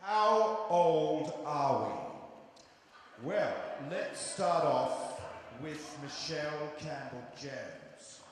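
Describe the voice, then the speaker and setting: A man's voice: on the high side, very animated, very slow. A man in his forties hosting a question session for children after a play, chatty and jokey as he talks straight to the kids. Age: 40s. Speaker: actor hosting a post-show Q&A. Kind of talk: cheerful audience Q&A with children. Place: theatre stage in a large hall. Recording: echoey hall recorded from far off.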